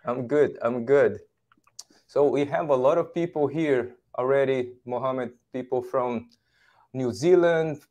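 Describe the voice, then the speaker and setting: A man in his forties hosts a livestream from a home office, talking over a video call. His voice sits at an ordinary middle pitch, and he sounds friendly and welcoming, with small restarts as he speaks. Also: darkish tone. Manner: friendly, welcoming, slightly halting. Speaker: host. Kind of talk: livestream interview.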